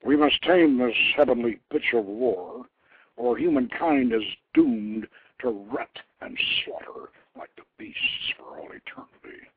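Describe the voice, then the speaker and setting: Man plays mighty storybook blacksmith god: commanding, husky, emphatic, over a thin phone line. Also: mid-range voice, measured pace. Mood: grave.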